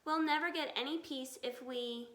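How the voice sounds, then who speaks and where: A woman's voice: high voice, normal speed, fairly bright tone, reverberant. A woman voicing a brave father fox in a storybook, exclaiming and giving orders urgently. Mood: glum, resigned. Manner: exclamatory, commanding.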